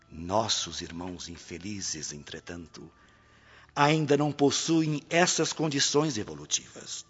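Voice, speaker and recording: Benevolent spirit elder for radio drama, male actor, solemn and slow, drenched in long reverb over soft music. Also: mid-range voice, breathy edge, muffled recording, exaggerated voice. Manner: solemn, preaching, measured delivery.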